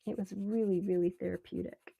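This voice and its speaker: A woman with a relaxed voice chats casually on a live stream as she draws, rambling unhurriedly and thinking aloud. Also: medium pitch, a little fast, gravelly, breathy edge, narrow, tinny audio. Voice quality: relaxed, soft-edged voice. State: relaxed, mildly sedated after anesthetic. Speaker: live stream artist host. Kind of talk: casual live stream chat while drawing.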